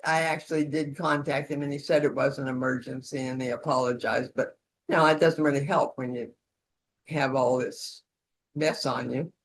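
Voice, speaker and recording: A woman's voice: a bass voice, fairly even intonation, normal speed, rough recording. A woman leading an instructional session in an informal, conversational way, with role-played support calls. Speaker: session leader demonstrating peer-support calls.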